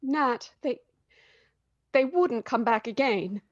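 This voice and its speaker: A woman's voice: on the high side, takes it slowly, muffled recording. A woman acting in a radio drama as an anxious, tender wife and mother.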